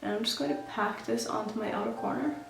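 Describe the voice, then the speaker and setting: A woman's voice: on the high side, fast talker, vocal fry, roomy sound, slight background noise, low-quality recording. A woman who grew up in Norway, chatting casually while she does her makeup for a beauty vlog. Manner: chatty, self-narrating while applying makeup. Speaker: beauty vlogger.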